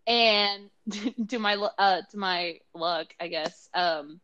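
A young woman in her twenties from Mexico, chatting on a casual podcast with friends. She tells stories in an animated, giggly way, slipping into other people's voices. Her voice sits at an ordinary middle pitch. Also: takes it slowly, lo-fi sound. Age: 20s. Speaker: podcast co-host. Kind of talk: casual storytelling chat.

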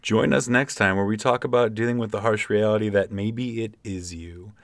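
A man's voice: lowish voice, fairly even intonation, normal speed, dry recording, lightly acted. A man playing a mock-sincere late-night love-show DJ, delivering parody radio announcements. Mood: mock-romantic. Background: plucked guitar music.

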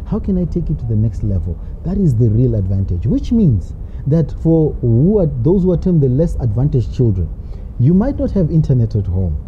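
A woman guest in an interview, explaining her views at length and posing rhetorical questions. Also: extremely low pitch. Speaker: literacy advocate guest. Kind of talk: interview answers. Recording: dull lo-fi with faint noise.

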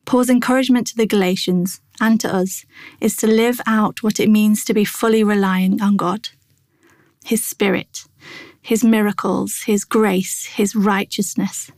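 A woman's voice: mid-range voice, a little mellow, no room sound. Woman reading a devotional, close dry sound. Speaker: devotional reader. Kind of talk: scripted devotional narration.

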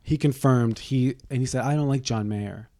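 A man co-hosting a podcast with a gravelly, dark voice. He rambles through sarcastic, profane asides in loose, snarky banter. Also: medium pitch, normal speed, slight background noise. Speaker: podcast co-host.

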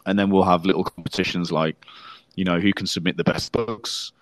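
A man's voice: low-pitched, steady tone, a little fast, no room sound, rough recording. A man co-hosting a casual podcast, laying out plans at length, with fillers and restarts. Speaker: podcast co-host.

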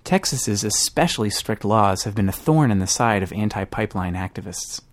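A man's voice: fairly low pitch, lively intonation, normal speed. A man hosting a podcast, reading scripted news narration. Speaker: podcast host.

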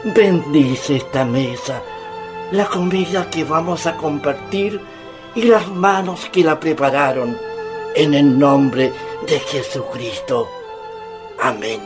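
A man's voice: a little high, takes it slowly, over music. A man playing a jolly, stubborn old Chilean grandfather with a big appetite in a radio drama, hesitating and repeating his words. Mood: devout, grateful. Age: older.

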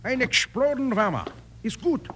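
An actor playing a flustered German immigrant gunsmith in an old radio western, with a thick German accent and garbled half-English speech. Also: male voice, very high-pitched, big pitch swings, normal speed, fairly bright tone, husky, heavily acted. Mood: flustered, puzzled. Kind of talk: old-time radio comedy western acting. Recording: noisy vintage radio recording with clicks.

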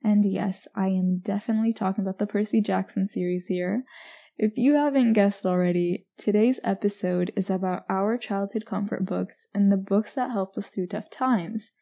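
A young woman hosts a casual podcast chat, her voice mellow and soft-edged. She talks at an easy pace and drops "like" into her sentences all the time. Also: medium pitch, moderate pace, vocal fry. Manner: chatty, lots of "like" fillers. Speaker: podcast host.

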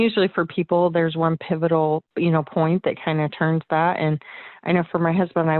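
A woman's voice: low voice, no echo. Woman on a dry, phone-like line. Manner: upbeat, affirming, informal. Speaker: podcast host, business coach. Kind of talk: casual interview chat.

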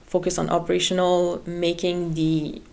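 A woman's voice: fairly low pitch, level tone, normal speed, creaky, a little mellow. A middle-aged woman from French Canada with a French Canadian accent, speaking English as a guest with many fillers and repeated words. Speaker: head of HR, guest. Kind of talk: interview chat.

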